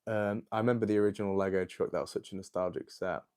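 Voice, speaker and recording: A man's voice: deep voice, steady tone, fast talker, darkish tone, clean tone. An eighteen-year-old man hosting a casual livestream, chatting and answering viewer comments with lots of "like" and "um". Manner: chatty and rambling, with many "like" and "um" fillers.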